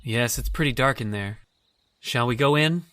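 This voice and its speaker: A man's voice: mid-range voice, moderate pace, a bit bright, no echo, lightly acted. A man playing an uneasy character fascinated by the supernatural in an old-time radio horror drama. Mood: uneasy, intrigued.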